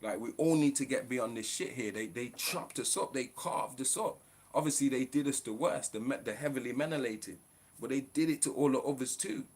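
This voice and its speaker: A man's voice: mid-range voice, fairly quick, darkish tone. A man ranting on a live stream, in a profane, preachy, fired-up way.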